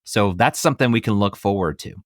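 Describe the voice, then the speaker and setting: Male podcast host, thirties, low voice, dry close sound, rambling and emphatic in casual review chat. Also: fast talker, no echo.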